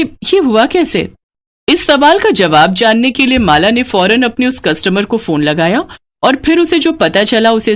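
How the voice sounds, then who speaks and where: A woman storyteller narrating a scripted moral tale. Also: mid-range voice.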